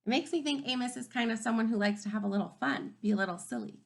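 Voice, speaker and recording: A woman teaching kindergarten through a read-aloud, thinking aloud and posing simple questions to children in an expressive, emphatic way. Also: a little high, quick pace, gravelly. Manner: expressive, emphatic storybook delivery.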